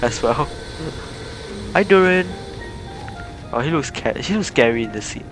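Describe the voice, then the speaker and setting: A male streamer chats casually while playing, rambling along in a giggly way. His voice is mid-pitched, with clear game music behind it. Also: expressive, fast talker, poor audio. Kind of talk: casual live gameplay commentary.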